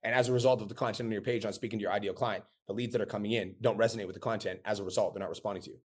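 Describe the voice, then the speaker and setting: A man's voice: fairly low pitch, fairly even intonation, very fast, husky. A man teaching a live coaching webinar, blunt and casual with frequent profanity. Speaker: business coach.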